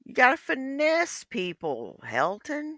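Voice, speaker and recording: A woman's voice: high voice, huge pitch swings, slow pace, bright, forceful tone, dry recording, studio quality. A woman in her fifties, blunt, profane and mocking in casual livestream chat. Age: 50s.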